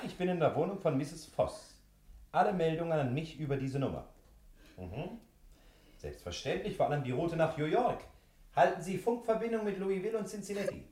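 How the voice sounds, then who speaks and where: An actor playing a brisk, self-assured male private detective in a 1960s German radio play, switching between bossy orders and unctuous soothing. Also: fairly high pitch, a little mellow, reverberant.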